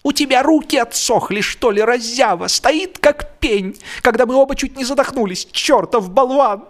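An actor playing a furious, exasperated young man who scolds his friend in a strained, shouting voice. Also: extremely high pitch, normal speed, voice pushed up. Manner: shouting insults.

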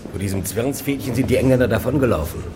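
An actor playing a simple, grumbling, skeptical common guard in a radio play, earthy and questioning. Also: male voice, mid-range voice, fast talker, background noise, natural voice. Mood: puzzled. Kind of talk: German radio play acting.

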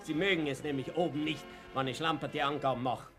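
A man's voice: on the high side, steady tone, a little fast, a bit bright, faint music behind, natural voice. An actor playing a wry, slyly defiant Viennese man in a radio play, speaking with an Austrian accent and ironic, mock-polite manner. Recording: noisy old radio play recording.

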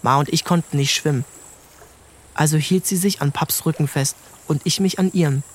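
A man narrating a literary audiobook in a calm, measured storytelling voice. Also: fairly high pitch.

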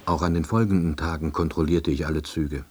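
An actor playing a lonely, melancholy laid-off East German husband, narrating his own story in a terse, deadpan diary manner. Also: rumbling low, fairly quick, a little hiss or hum. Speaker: narrator and lead actor of a radio drama. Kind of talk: scripted first-person narration.

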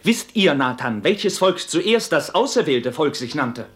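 An actor playing a proud, impassioned young Knight Templar, brusque but honourable, in fervent, formal 1950s radio-play declamation. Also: male voice, a little high, very animated, unhurried. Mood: scornful, fervent.

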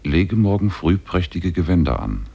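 A jinn disguised as an ape, super low voice, solemn n commanding, slow portentous old radio drama style. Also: male voice, sing-song, gravelly, mellow, slightly rough, lo-fi sound, full character voice. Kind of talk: 1950s German radio drama acting.

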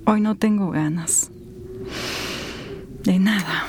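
A woman's voice: medium pitch, takes it slowly, darkish tone, a little hiss or hum, lightly acted. A woman playing a tired, cynical professional in a radio drama, muttering dryly to herself.

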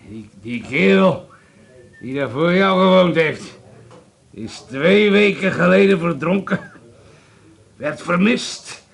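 Nasty drunk bully in a radio play, high creaky voice, barks orders and swears. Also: male voice, expressive, slow pace, pauses often, exaggerated voice. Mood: taunting, menacing. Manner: coarse, swearing, barking orders. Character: rude, drunken, bullying bald American hotel guest. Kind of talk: radio drama acting.

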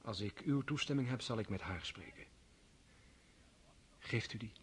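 A man's voice: medium pitch, normal speed, husky. An actor playing an earnest, formal young nobleman asking for a girl's hand in a radio play.

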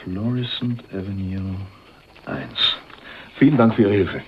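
A polite hotel guest from an eighties radio crime play. His voice is fairly deep and courteous. The recording is a little muffled, with faint noise. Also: animated, measured pace. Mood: polite, searching. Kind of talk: 1980s German radio crime drama acting. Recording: dull, slightly noisy radio play recording.

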